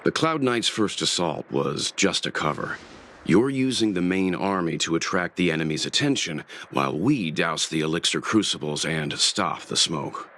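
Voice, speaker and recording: An actor playing a serious, reserved, analytical fighter in a game, speaking in a deliberate, matter-of-fact way. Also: male voice, sits low, animated, a bit bright, a little hiss or hum. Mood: serious, probing. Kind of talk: scripted game story dialogue. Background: music.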